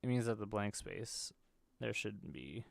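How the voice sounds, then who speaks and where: A man's voice: on the low side, animated, measured pace, gravelly, no room sound. A man hosting gaming videos, reading a visual novel's script aloud as he plays.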